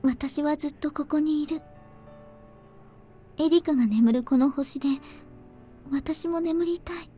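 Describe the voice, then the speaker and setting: A woman acts a lonely, fragile young woman from a visual novel. Her voice is high and very soft-toned, with hesitant, resigned phrasing. Faint music plays behind her. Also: a little fast, long pauses, natural voice. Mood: wistful, weary. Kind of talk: Japanese visual novel voice acting.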